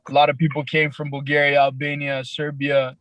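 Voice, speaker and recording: A raspy young man with a Toronto accent, a rapper guest talking in a laid-back, halting way with many fillers. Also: fairly high pitch, monotone, dry recording, dull audio. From Canada, grew up in Toronto. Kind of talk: casual podcast interview.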